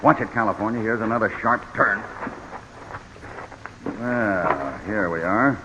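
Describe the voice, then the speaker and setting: An actor playing a calm, decisive cowboy hero in an old western radio drama, firm and commanding. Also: male voice, fairly low pitch, measured pace, long reverb tail, natural voice. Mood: steady, wary. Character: calm, decisive heroic cowboy in his prime. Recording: dull noisy vintage radio recording.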